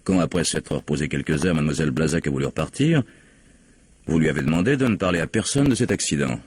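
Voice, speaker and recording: Man acting a very deep, husky police investigator. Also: lively intonation, fast, darkish tone, no echo, full character voice. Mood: accusing. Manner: probing, methodical, formally polite. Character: insistent, skeptical male police investigator questioning a suspect. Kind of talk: French radio mystery drama acting.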